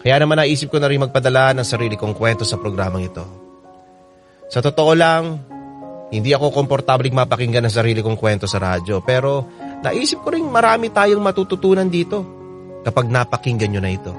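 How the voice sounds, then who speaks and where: Guy narrating a radio drama letter, medium pitch, bit of music behind. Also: very animated, fairly quick. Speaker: narrator reading a listener's letter. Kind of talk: scripted radio drama narration, first-person storytelling. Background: music bed.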